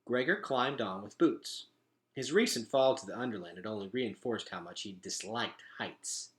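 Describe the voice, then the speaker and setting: A man reading a storybook aloud as narrator, in an expressive style. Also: medium pitch. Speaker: storybook reader.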